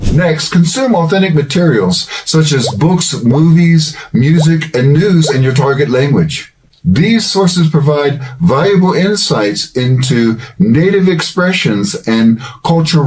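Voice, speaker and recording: An older man hosting a language-learning channel, giving scripted advice in a measured, earnest and encouraging way. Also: on the high side, a little mellow, a little hiss or hum.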